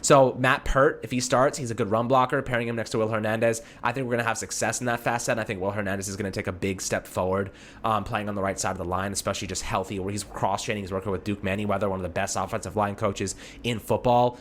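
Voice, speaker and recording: A man's voice: lowish voice, fairly even intonation, fast, fairly bright tone, slight background noise. A man hosting a sports show, giving casual fan commentary in an informal, conversational way, talking to callers like friends.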